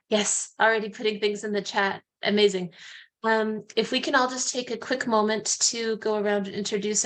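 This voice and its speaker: A woman hosting an informal group video call, calmly inviting people to introduce themselves. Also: medium pitch, poor audio. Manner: friendly, unhurried facilitating. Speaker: host.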